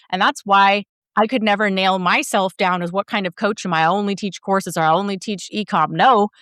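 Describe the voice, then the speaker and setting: Middle-aged woman coach, chatty and encouraging, dry close sound, mid pitch. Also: fairly bright tone, clear, resonant tone, dry recording. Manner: talkative, encouraging, explains with examples. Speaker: business coach and host. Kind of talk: coaching conversation.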